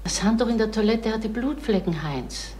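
An actress playing an anxious middle-aged wife in a radio crime play. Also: female voice, a little high, animated, normal speed, warm tone, slight background noise, lightly acted. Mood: anxious, pressing. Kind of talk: German radio crime drama acting.

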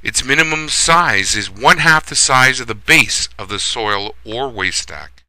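A man giving a scripted plumbing lesson as a voice-over instructor, reading in a measured, steady way. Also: medium pitch, no echo, rough recording.

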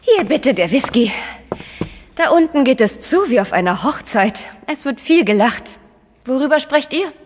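A woman's voice: sits high, big pitch swings, normal speed, long reverb tail, slight background noise. An actress playing an investigator's frank, sceptical female partner in a crime radio drama. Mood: frank, inquisitive.

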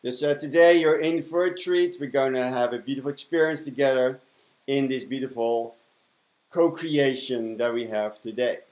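A man's voice: mid-range voice, normal speed, a little mellow. A man in his fifties with a Dutch accent gives an effusive spiritual talk to camera, repeating affirming words. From Netherlands. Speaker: spiritual mentor and broadcast host. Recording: roomy, echoing indoor sound.

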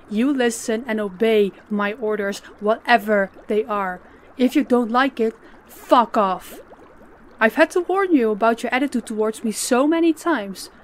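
Woman acting a stern, high-voiced female crime boss. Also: a little hiss or hum, close to an everyday voice. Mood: furious, commanding. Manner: commanding, deliberate, threatening. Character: stern, menacing female crime boss posing as business owner. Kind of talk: roleplay audio drama.

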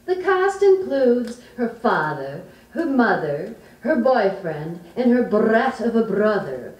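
A woman's voice: a little high, sing-song, takes it slowly, darkish tone. A woman giving a theatrical introduction as the narrator of a stage sketch. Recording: roomy, distant 1950s 78 rpm record.

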